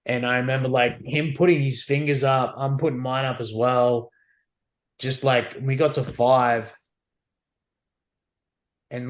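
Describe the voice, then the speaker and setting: Brief: Australian male veteran, 30s, candid podcast guest, easygoing storyteller. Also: medium pitch. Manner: relaxed, candid, acts out quotes. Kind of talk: casual storytelling chat.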